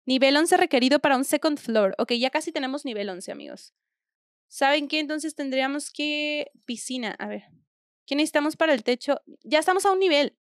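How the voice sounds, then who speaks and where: Young Mexican woman, game streamer, high voice, slangy, chatty, dry close sound. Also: lively intonation, a little fast, dry recording. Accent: Mexican Spanish. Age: young adult. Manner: chatty, slangy, thinks aloud while playing. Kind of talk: casual gameplay commentary. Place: small indoor room at home.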